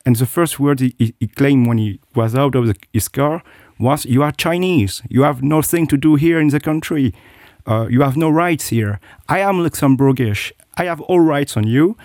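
A man's voice: mid-range voice, normal speed, few pauses, darkish tone, no room sound, studio quality. A middle-aged man, a guest telling his personal story with many hesitations and restarts. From South Korea. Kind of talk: interview, personal account.

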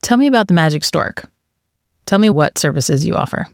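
A woman's voice: lowish voice, expressive, fairly quick, gravelly, darkish tone, no echo, studio quality. A woman from the United States hosting a podcast, asking questions in friendly casual chat. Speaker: podcast host.

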